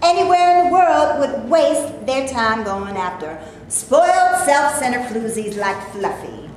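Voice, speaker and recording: A woman's voice: high-pitched, sing-song, a little slow, a little mellow. A woman acting a feisty, fed-up film actress who is stuck playing maids, in a staged play reading, with sassy, indignant colloquial talk. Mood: scornful. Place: echoey room before a live audience. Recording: echoey room with noise, live audience recording.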